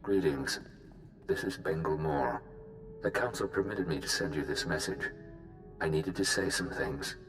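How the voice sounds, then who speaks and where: An actor voicing a remorseful, humbled Jedi in a video game, speaking slowly and earnestly. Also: male voice, very deep voice, fairly bright tone. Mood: solemn, grateful. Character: remorseful male Jedi, grave, humbled and grateful. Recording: echoey voice over music.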